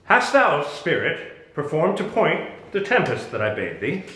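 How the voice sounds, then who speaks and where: A man playing a grave, commanding exiled duke and sorcerer in a deliberate, declamatory Shakespearean stage reading. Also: a little high, wildly swooping pitch, pushed and bright, full character voice. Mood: commanding, questioning. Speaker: actor in dramatic reading. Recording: echoey room.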